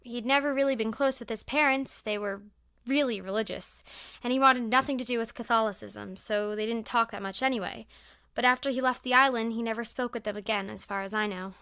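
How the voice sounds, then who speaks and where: A young woman acting an earnest, somewhat hesitant high school girl in a naturalistic audio drama. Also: brisk, bright, forceful tone, clear, resonant tone, no room sound, clean studio sound. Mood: subdued, reflective. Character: earnest, reserved teenage high school girl raised on the mainland.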